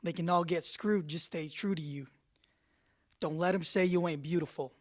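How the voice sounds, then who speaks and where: Male reader, lightly raised pitch, dramatic verse recitation. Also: normal speed. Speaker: news reporter reading lyrics. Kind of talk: dramatic spoken reading.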